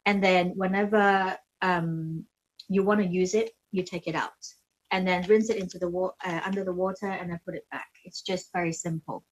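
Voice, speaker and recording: A woman's voice: on the low side, fairly even intonation, moderate pace, poor audio. Hesitant woman demonstrating beauty tools. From Hong Kong. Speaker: beauty brand founder and facialist giving a demo. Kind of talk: casual product demonstration and explanation.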